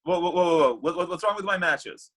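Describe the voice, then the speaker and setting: Guy doing a bitter defensive love god for a comedy read, bright forceful voice, kinda raspy, exasperated. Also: sits high, fast talker. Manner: exasperated, indignant, stammering. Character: Cupid, bitter, defensive, heartbroken male matchmaker god. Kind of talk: comedy script table read.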